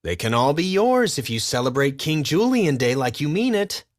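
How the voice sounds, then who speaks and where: Man voicing a coaxing, raised-pitch lemur aide. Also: very animated, normal speed. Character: scheming, persuasive male lemur aide. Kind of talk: children's storybook character voice.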